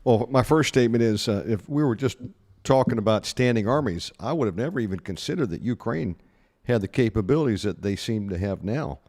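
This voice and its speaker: A man's voice: on the low side, expressive, brisk, darkish tone, in a large hall. A man hosting a podcast, asking questions conversationally and reacting with short interjections. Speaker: podcast host.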